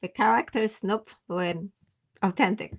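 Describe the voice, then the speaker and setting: A woman's voice: mid-range voice, measured pace, gravelly. A woman whose first language is Polish, a memoir author answering interview questions in simple, hesitant phrasing. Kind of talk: podcast interview.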